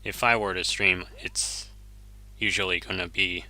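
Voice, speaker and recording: Deep-voiced, unhurried male game streamer. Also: fairly even intonation, bright, forceful tone, low-quality recording. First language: Japanese. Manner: easygoing, chuckles often, self-correcting. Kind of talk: casual gameplay commentary, reading chat.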